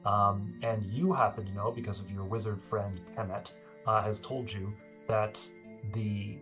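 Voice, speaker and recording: Male GM, lowish voice, talky and easygoing, music under him. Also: fairly even intonation, unhurried, low-quality recording. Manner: chatty, laughs often, many fillers. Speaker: game master. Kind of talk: narrating a tabletop game.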